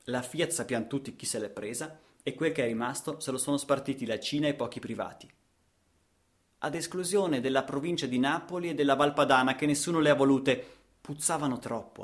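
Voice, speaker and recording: A man with an Italian accent performing a satirical radio theatre monologue, ironic and emphatic, posing rhetorical questions. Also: mid-range voice.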